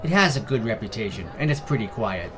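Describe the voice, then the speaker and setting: A man's voice: fairly low pitch, animated, fairly quick, natural voice. A man voicing a helpful, plain-spoken male town gate guard in a fantasy story. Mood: matter-of-fact. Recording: music behind the voice.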